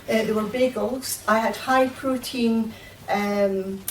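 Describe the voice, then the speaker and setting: A woman speaking on a roundtable panel, telling anecdotes in a lively, enthusiastic way. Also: fairly high pitch, slow pace, slight background noise. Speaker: panelist promoting salmon. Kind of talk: roundtable discussion. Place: event room. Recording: distant mic in an echoey room.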